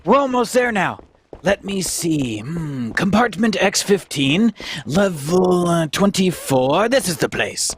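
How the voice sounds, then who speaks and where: A woman voicing an earnest young male cadet with a husky, creaky voice in a hammy radio play. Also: on the low side, sing-song, takes it slowly, a little hiss or hum. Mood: calm, wry.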